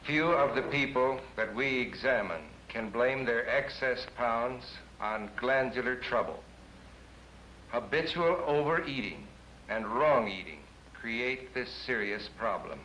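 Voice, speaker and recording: A man, a doctor, giving a stiff, deliberate scripted statement in a 1950s film with echoey, slightly noisy sound. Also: mid-range voice, expressive, sharp tone. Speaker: doctor, expert.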